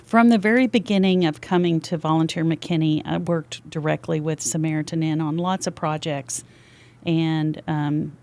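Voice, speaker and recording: A woman's voice: low voice, fairly even intonation, moderate pace, a little mellow. Chatty older Texan woman. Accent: Texas. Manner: chatty and talkative. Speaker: podcast guest. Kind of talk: casual interview chat. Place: podcast studio.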